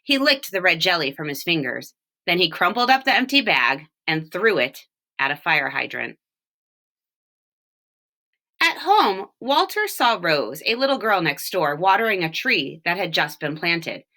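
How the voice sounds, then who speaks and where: A woman reading a children's storybook aloud, with expressive, deliberate phrasing and short explanations. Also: lowish voice. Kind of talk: read-aloud storytelling with brief picture explanations.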